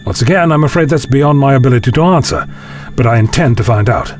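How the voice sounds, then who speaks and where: A man acting a learned, calmly authoritative time-travelling scientist in a science fiction audio drama, speaking in measured, formal phrases. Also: medium pitch, faint music behind, lightly acted. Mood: calm, explanatory. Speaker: lead actor in a fan audio drama.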